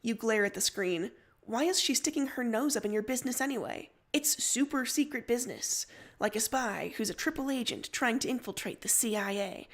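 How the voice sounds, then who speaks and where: A woman with a fairly high voice reads fiction aloud. She works as the narrator, carrying second-person prose in a storytelling style.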